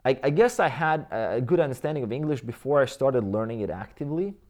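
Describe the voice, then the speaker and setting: A man in his thirties speaking English with a Croatian accent, telling his life story to an interviewer. His voice sits at an ordinary, middling pitch. He rambles thoughtfully, often restarting sentences and filling pauses with "uh" and "right?". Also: expressive, a little mellow. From Croatia/Bosnia region. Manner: rambling, many fillers and restarts, reflective. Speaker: interview guest.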